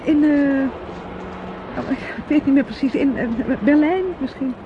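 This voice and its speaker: An actress playing a polite, guarded young niece in a radio play, halting and evasive. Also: female voice, high voice, fairly even intonation. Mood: flustered, evasive. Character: hesitant, guarded young niece, politely accompanying her aunt. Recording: dull lo-fi, clearly noisy old radio recording.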